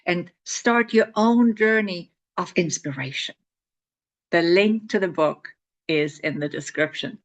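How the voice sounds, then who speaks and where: A woman's voice: fairly low pitch, unhurried, highs cut off. A middle-aged woman author telling stories about her own life in a chatty way. She laughs often and restarts her sentences with repeated words. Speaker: author promoting her book. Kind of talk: casual spoken storytelling to camera.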